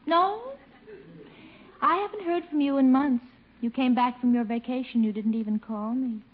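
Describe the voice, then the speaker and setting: An actress playing a coy, flirtatious American sweetheart in a 1950s radio situation comedy, with theatrical cooing. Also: female voice, high-pitched, normal speed. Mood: indignant, teasing. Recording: thin, phone-like 1950 radio broadcast.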